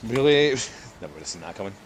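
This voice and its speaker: A man's voice: fairly low pitch, very animated, fairly quick, a little hiss or hum. A man chatting casually as a podcast guest, joking and playfully talking himself up. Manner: joking, playfully self-promoting.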